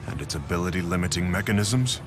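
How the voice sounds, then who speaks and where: A man's voice: low-pitched, expressive, fast talker, background noise. An actor playing a stern, resolute king in a video game, terse and authoritative. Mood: grave, resolute. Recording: loud music behind the voice. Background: loud background music.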